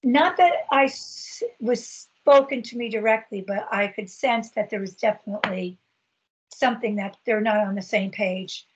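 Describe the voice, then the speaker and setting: A middle-aged woman with a husky voice telling her own story on a video call, earnest and halting with many fillers. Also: medium pitch. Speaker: patient advocate. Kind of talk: personal story in a video meeting. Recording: dull lo-fi video call audio.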